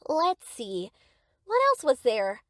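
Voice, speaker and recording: A high-voiced, swooping young yandere acted out by a woman speaks straight to the listener in an audio roleplay. She is doting and boastful, cheerfully obsessed and possessive, with a close, dry sound. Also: big pitch swings, takes it slowly, a bit bright, no room sound, full character voice. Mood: adoring. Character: gushing, possessive yandere best friend, young woman painter. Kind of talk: scripted ASMR roleplay, addressing the listener.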